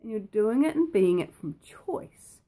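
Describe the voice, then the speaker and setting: Croaky-voiced woman hosting a talk. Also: mid-range voice, expressive, a little slow, dark tone. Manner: playful, repetitive, asks the viewer questions. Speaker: host. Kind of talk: casual talk to camera.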